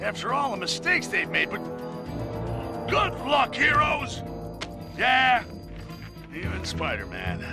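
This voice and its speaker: A man's voice: very high-pitched, huge pitch swings, slow pace, pauses often, full character voice. An actor playing a grumpy, bombastic older male newspaper editor ranting in a news broadcast. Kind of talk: video game voice acting. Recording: game audio with music bed.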